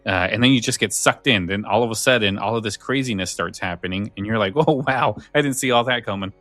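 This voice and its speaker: A man's voice: lowish voice, fast talker, a bit bright, no room sound, faint music behind. A man co-hosting a podcast, talking casually about his favourite stories. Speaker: podcast co-host.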